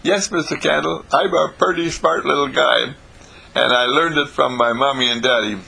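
A man's voice: mid-range voice, steady tone, normal speed, gravelly, pushed and bright, slightly rough, exaggerated voice, rough recording. A man voicing an earnest, chatty four-year-old boy who speaks in repetitive, childlike phrases. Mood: confiding.

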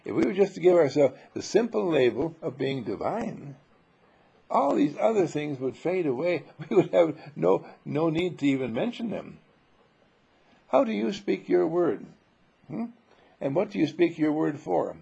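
Gravelly, animated male spiritual preacher. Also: medium pitch. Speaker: spiritual teacher. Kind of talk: informal spiritual sermon with rhetorical questions.

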